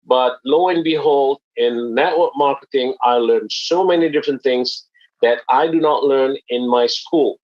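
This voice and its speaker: A man's voice: medium pitch, a little slow. Earnest man giving a muffled webinar talk. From Indonesia. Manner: earnest, explanatory, with frequent fillers. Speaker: network marketing presenter.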